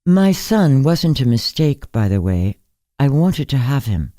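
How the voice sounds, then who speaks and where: Man reading as slow, wry, very old woman. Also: mid-range voice, big pitch swings, no echo, clean studio sound, voice pushed up. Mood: frank, musing. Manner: musing, candid, gently superior. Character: very old bedridden woman, frank, musing, gently wry. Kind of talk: literary story character reading.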